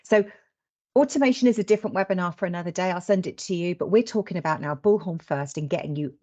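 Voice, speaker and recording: A woman's voice: lowish voice, fast talker, a little mellow. A woman hosting a webinar in an informal, chatty and blunt colloquial way. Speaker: webinar host. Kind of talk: informal presentation. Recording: slightly dull lo-fi webinar audio.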